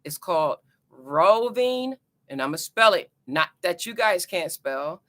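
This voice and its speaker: A woman's voice: lowish voice, expressive, unhurried, fairly bright tone. A woman with a New Jersey accent talking to camera about astrology in a loose, chatty teaching style, repeating herself and adding "you know" asides. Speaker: astrology vlogger. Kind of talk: casual teaching talk to camera.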